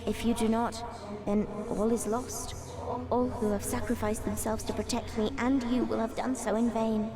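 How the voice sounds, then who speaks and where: A woman's voice: on the high side, level tone, normal speed, over a noisy crowd, natural voice. A woman playing a wise, formal seed spirit, speaking in a solemn, oracular way in an audio drama. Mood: urgent, solemn. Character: wise, solemn female magical seed spirit, ancient yet newborn. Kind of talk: fan audio drama acting.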